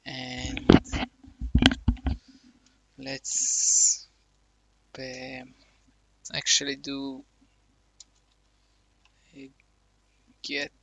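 A man slowly talking through a coding tutorial, thinking aloud with many fillers. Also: medium pitch, completely flat delivery, gravelly, sharp tone, slight background noise. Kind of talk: casual screen-recorded coding tutorial.

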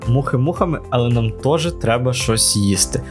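Male vlogger, casual voice-over with wry asides; mid-range voice over a clear music bed. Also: mid-range voice, lively intonation, moderate pace. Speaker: travel vlogger.

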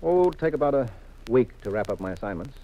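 An actor playing a confident, teasing American husband detective in a 1950s radio mystery, with a wry, assured manner. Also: male voice, medium pitch, very animated, fast, warm tone. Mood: relaxed, reassuring. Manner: wry, assured, leading questions. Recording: muffled vintage radio recording.

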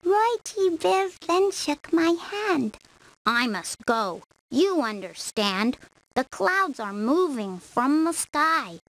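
A woman reading children's verse from a script with measured, simple read-aloud delivery. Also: high voice, big pitch swings, a bit bright, dry recording, low-quality recording. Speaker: storybook reader.

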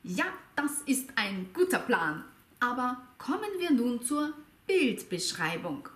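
Woman in her 50s giving a german lesson, high-ish voice, echoey room. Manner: lively, teacherly, slow and over-articulated. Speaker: German teacher. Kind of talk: slow, clear language lesson. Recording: roomy echo.

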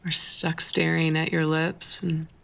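Slow, low-voiced flirty best friend, played by a woman. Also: level tone, creaky, a bit bright, full character voice. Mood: tender, confessing. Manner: teasing, halting, giggly delivery. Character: playful, flirty, tender female best friend with a crush. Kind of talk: intimate ASMR roleplay, acted one-sided conversation.

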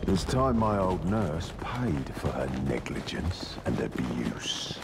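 An actor playing a cold, calculating Victorian killer in a video game, speaking low and deliberately. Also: male voice, sing-song, vocal fry, very noisy, full character voice. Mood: menacing. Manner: low, deliberate, sinister muttering. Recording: game audio under loud street ambience.

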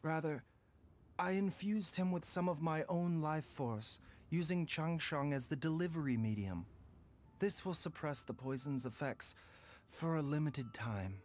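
A man voicing a calm, courteous young doctor who is unwell and weakened, in a video game. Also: on the high side, a little slow, a little hiss or hum, close to an everyday voice. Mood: explaining. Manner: calm, courteous and soft-spoken. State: coughing, weakened. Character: gentle, frail young male doctor hiding illness.

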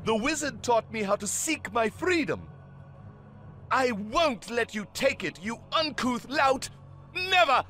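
A very high, wildly swooping villain knight, haughty and sneering. He stretches his words slowly with pompous mockery, a theatrical video game bad guy over background music. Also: animated, pushed and bright, full character voice. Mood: sneering, defiant. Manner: pompous, mocking, drawn-out delivery.

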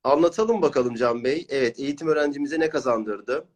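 A man's voice: mid-range voice, expressive, fairly quick. A man working as a reading coach and host, interviewing clients and explaining his course in an encouraging manner. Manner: encouraging, praising.

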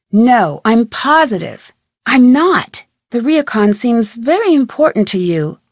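A woman voicing an inquisitive, persistent young amateur detective in a video game, earnest and clearly articulated. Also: fairly high pitch, no echo, studio quality. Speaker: voice actor playing the lead detective. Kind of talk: video game dialogue lines.

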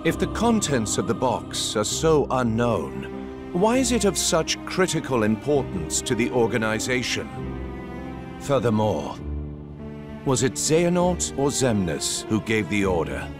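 Slow, deliberate, calculating game schemer. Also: male voice, mid-range voice, sing-song, music in the background. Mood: probing. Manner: measured, deliberate, probing. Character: calm, calculating middle-aged male card-playing schemer. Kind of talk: cinematic video game voice acting.

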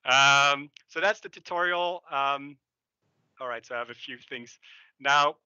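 A middle-aged Belgian man whose first language is French talks through a live product demo, casual and full of fillers. His voice is very bright and forceful. The headset sound is dry and slightly dull. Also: mid-range voice, unhurried, clear, resonant tone, no echo. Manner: casual and enthusiastic, thinking aloud with many fillers. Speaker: software developer giving a demo. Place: indoor room, seen on webcam.

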